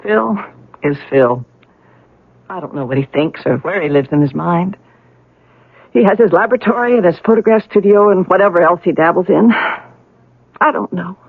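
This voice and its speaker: A woman's voice: on the low side, normal speed, gravelly, pauses often, breathy edge, no echo, narrow, tinny audio. An actress playing a troubled, guilt-ridden young woman, bitter and resigned, in a 1970s radio drama. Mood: weary, defensive.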